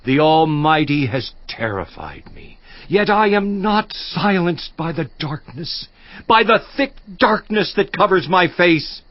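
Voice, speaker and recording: Anguished suffering man, audio drama, very slow, hushed and grave, dry dull studio sound. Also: on the high side, very animated, no echo. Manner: slow, grave, sinking to a hushed near-whisper. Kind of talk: dramatized scripture reading.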